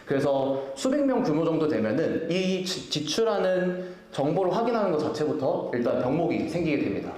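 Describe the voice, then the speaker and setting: A man's voice: a little high, a little mellow. A man giving a business conference presentation in a polite, formal explaining way, with frequent hesitations and restarts, in an echoey event hall. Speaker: product owner presenting at a company event. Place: indoor event venue, presenting to an audience.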